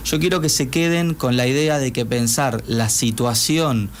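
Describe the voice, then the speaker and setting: A man from Uruguay, a guest psychologist in a radio studio, explains things at an ordinary pitch. He works patiently through his points and often restarts mid-phrase. A faint hiss sits under his voice. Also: moderate pace.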